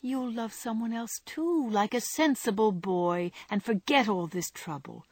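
A woman's voice: a little high, measured pace, darkish tone, dry recording, studio quality, voice pushed up. A woman voicing a spirited, remorseful young woman. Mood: earnest. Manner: earnest, remorseful. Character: spirited young woman, kind but firm.

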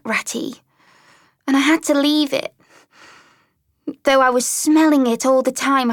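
A woman's voice: sits high, dry recording, studio quality, voice pushed up. A woman voicing a small, timid, homesick female mole who sobs and stammers as she speaks. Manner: sobbing, halting, stammering. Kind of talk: storybook character voice.

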